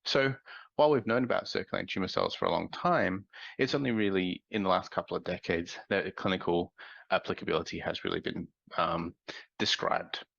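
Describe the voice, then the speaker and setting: A man presenting a scientific conference talk, sounding nasal from a cold, explaining in a measured way with frequent ums. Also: deep voice, vocal fry, fairly bright tone, no echo, dull audio.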